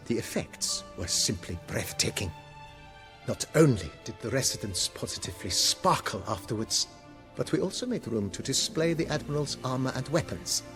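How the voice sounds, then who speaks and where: Estate majordomo for a fantasy game: servile, ornately polite, lively swooping intonation. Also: male voice, mid-range voice. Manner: formal, ornate, servile phrasing. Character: courteous, deferential male estate majordomo, eager to please. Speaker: voice actor. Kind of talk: video game cutscene acting.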